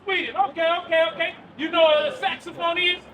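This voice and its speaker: A man preaching loudly outdoors on a city street. He quizzes the crowd with questions and then answers them himself. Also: very high voice, fairly even intonation, brisk. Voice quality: loud and projecting, near yelling. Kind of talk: loud open-air street preaching. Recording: far from the mic with street noise.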